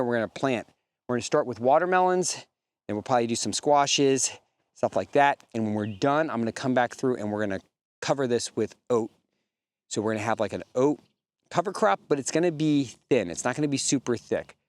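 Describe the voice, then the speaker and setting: A young man talks outdoors on a hillside field, his voice a little raspy after a day of yelling. He explains loosely and casually, thinking aloud in rambling, easygoing sentences at a mid pitch. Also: no room sound. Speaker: homesteading vlogger.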